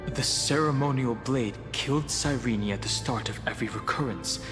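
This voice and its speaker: A man playing a grave, thoughtful guide in a fantasy game, explaining in a measured, solemn way. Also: medium pitch, natural voice. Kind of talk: dramatic game exposition. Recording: long reverb over music.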